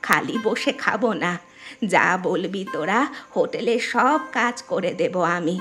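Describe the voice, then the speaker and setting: A woman voicing an elderly village mother-in-law in a cartoon, weary and humbled, with a slow, plaintive, confessional way of talking. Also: lowish voice, expressive, a bit bright, faint music behind. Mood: humbled, grateful. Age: older. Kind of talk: Bengali moral cartoon dialogue.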